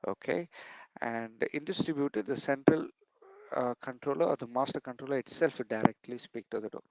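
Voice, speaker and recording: A man's voice: medium pitch, a little fast, gravelly, no room sound, highs cut off. A man giving a technical training lesson over slides, explaining step by step and repeating key points.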